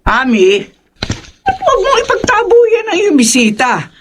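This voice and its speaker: A woman playing a morally firm older mother in a horror radio drama, gently scolding and disapproving. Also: very high voice, wildly swooping pitch, measured pace, slight background noise, exaggerated voice. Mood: reproving.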